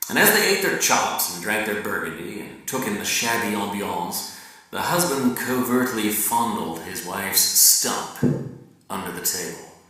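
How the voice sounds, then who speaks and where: A man giving a dramatic literary reading like a raconteur's monologue, theatrical and deliberate with his pauses. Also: lowish voice, expressive. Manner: theatrical, deliberate pauses, swinging from hushed to emphatic. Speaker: reader performing a novel excerpt. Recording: echoey room, distant from the mic.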